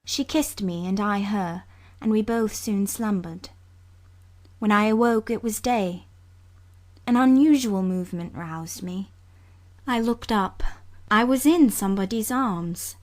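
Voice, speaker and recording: A woman reading literary narration for an audiobook in a measured, sombre storytelling manner. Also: mid-range voice, darkish tone.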